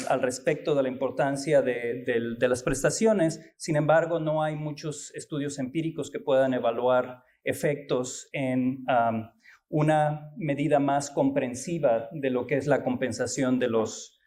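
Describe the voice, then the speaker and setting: A man giving an academic conference talk, halting and full of fillers and restarts. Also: fairly high pitch, darkish tone. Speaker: guest lecturer.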